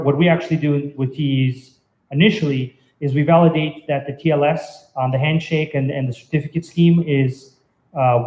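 A man's voice: a little high, dull audio. A man in his thirties giving an informal technical talk at an indoor venue, explaining in a hesitant, conversational way. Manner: hesitant, halting, conversational delivery. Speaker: conference speaker. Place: indoor meetup venue, on stage by projection screen. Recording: roomy, echoey event venue.

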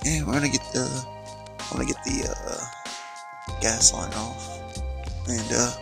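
Gravelly American man vlogging, thinking aloud. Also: medium pitch, slow pace, music in the background. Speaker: motorcycle vlogger. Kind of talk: casual vlog narration while working.

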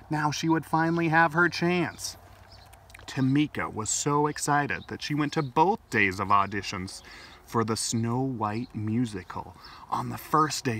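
Male children's storyteller, middle-aged, expressive read-aloud, mid pitch, recorded a little off mic. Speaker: library storytime host. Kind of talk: expressive picture-book reading for children. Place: outdoors in a garden. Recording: distant mic, faint outdoor noise.

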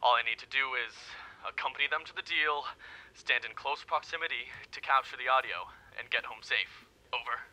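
A man's voice: medium pitch, brisk, bright, forceful tone, rough recording. An actor playing a nervous, bumbling undercover policeman with awkward, overeager delivery in a comedic radio play. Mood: nervous, resolved. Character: nervous, bumbling undercover policeman, clumsily faking gangster slang.

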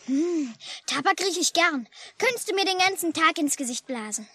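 High-voiced, curious chatty child. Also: female voice, lively intonation, moderate pace, a bit bright, full character voice. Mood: dreamy, chatty. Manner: childlike, blunt, inquisitive. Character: chatty, curious young child, a fisherman's kid. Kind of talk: 1950s German radio drama acting. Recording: noisy old mono radio recording.

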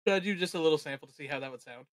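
A woman's voice: sits low, very fast, slight background noise. A woman streamer chatting casually with friends on a livestream.

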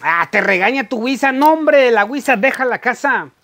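High-pitched boisterous Guatemalan buddy, a man's put-on. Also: animated, moderate pace, heavily acted. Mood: boisterous, urging. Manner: loud, slangy, urging. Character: boisterous Guatemalan buddy calling out in street slang.

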